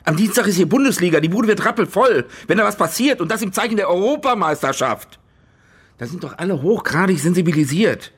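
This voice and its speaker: An actor playing an anxious, blustering stadium boss in a German radio crime drama, stammering and sarcastic, with a husky voice. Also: male voice, high-pitched, animated, a little fast. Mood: agitated, pleading.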